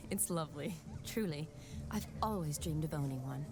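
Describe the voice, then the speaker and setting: An actress playing a wry young woman who teases her suitor in a dry, unhurried way. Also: low-pitched, animated, background noise. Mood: teasing. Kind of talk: video game cutscene voice acting. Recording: game soundtrack music behind.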